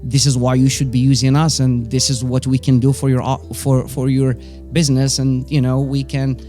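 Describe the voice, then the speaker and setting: A man's voice: medium pitch, monotone, moderate pace, warm tone, soft background music. A man in his forties with a Moroccan accent, a native Arabic and French speaker, casually telling personal stories as an interview guest. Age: 40s. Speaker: interview guest, business owner.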